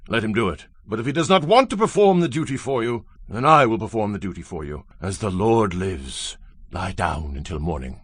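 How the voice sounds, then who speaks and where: An actor playing a kindly, upright landowner of ancient Israel, earnest and solemn, in a dramatized scripture reading. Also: male voice, medium pitch, no echo, lightly acted.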